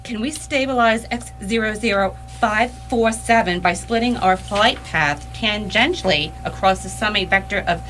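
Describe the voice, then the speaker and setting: A woman's voice: medium pitch, moderate pace, few pauses, fairly bright tone, faint music behind, close to an everyday voice, rough recording. A woman playing a sensible, capable space pilot in radio theatre, precise and matter-of-fact. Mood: focused. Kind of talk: staged radio theatre acting.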